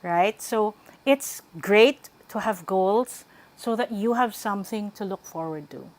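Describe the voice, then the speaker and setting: A woman with a Filipino accent, a wellbeing coach giving advice in a radio interview, unhurried and reflective, switching between English and Tagalog. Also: mid-range voice. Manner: unhurried, mixes English with Tagalog, thoughtful pauses.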